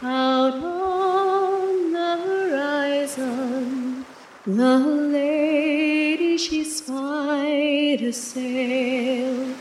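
A woman's voice: sits high, steady tone, very slow, reverberant, soft background music, low-quality recording. A woman singing a folk ballad in a sustained, storytelling way.

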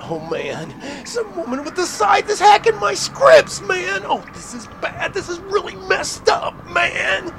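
A man playing an excitable, panicky writer who wails and exclaims in slang, in a comedy audio drama. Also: very high-pitched, unhurried, vocal fry, few pauses, crowd noise, heavily acted. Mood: dismayed.

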